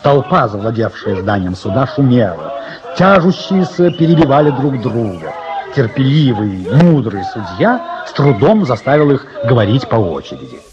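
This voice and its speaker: A male actor narrating a satirical adventure radio play as a wry, dramatic storyteller with emphatic, measured pauses. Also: mid-range voice, very animated, dull audio, music in the background, close to an everyday voice. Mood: wry storytelling. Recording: radio recording with faint hiss.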